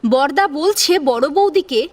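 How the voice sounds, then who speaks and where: A woman's voice: sits high, lively intonation, measured pace, darkish tone. A woman reading scripted narration of a story as its narrator.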